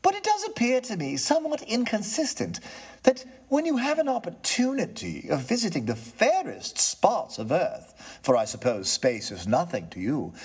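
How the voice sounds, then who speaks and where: A man voicing a nervous, polite and fussy gentleman tenant in a storybook, with a courteous, hesitant manner. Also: very high voice, husky, voice pushed up, heavily acted. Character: nervous, polite, reasonable male tenant, frightened then chatty. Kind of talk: theatrical storybook character reading.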